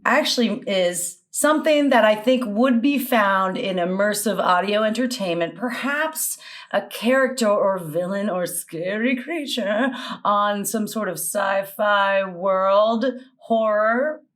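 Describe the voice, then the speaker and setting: A woman in middle age talks on a video-call panel game. Her pace is slow and deliberate, with an average pitch and a playful, mock-serious way of speaking. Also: fairly bright tone. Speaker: panelist and scorekeeper.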